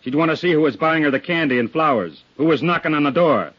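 An actor playing a tough, impatient police detective with a gruff, sardonic, badgering manner in a 1950s radio drama. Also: male voice, fairly high pitch, fast. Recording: dull, lo-fi 1950s radio recording.

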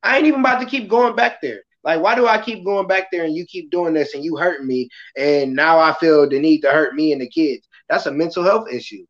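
A passionate, loud-voiced man hosting a casual podcast talk, acting out quotes as he goes. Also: fairly high pitch, brisk, few pauses, dull audio. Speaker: podcast host; kindergarten school worker.

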